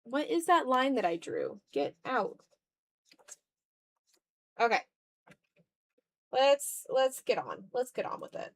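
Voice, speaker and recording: Woman art streamer, fairly high voice, off-the-cuff and self-deprecating, muttering through her process to viewers. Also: very animated, takes it slowly, long pauses. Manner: self-deprecating, thinking aloud in fragments. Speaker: art livestreamer. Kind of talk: casual livestream chat while drawing.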